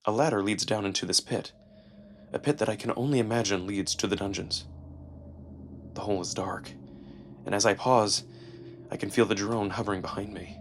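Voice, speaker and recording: A man narrating a first-person story dramatically, with expressive, deliberate pauses. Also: fairly low pitch, a little hiss or hum. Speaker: narrator and performer. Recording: music behind the voice. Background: music.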